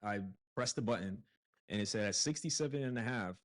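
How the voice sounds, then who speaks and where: A man in his thirties, talking as a podcast guest in a low voice. He tells his story in a loose, conversational way, stumbling over a few words and using fillers as he goes. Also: fairly quick. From Brooklyn, New York. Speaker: podcast guest, real estate investor. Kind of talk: casual interview chat.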